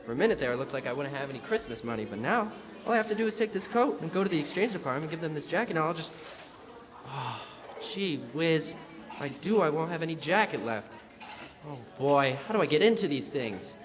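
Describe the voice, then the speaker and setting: A male performer playing a naive, excitable teenage comic-strip boy, earnest and pleading, in a classic radio comedy style on stage. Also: fairly high pitch, fast talker, natural voice. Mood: dismayed. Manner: earnest, pleading, gee-whiz exclamations. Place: school theatre stage. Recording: live stage recording, hall reverb, audience.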